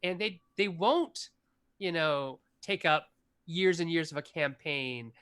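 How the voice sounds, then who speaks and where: A man's voice: fairly high pitch, lively intonation, slow, fairly bright tone, clear, resonant tone. A man in his forties, a game designer talking enthusiastically as an interview guest, rambling with lots of fillers. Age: 40s. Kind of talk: casual interview chat.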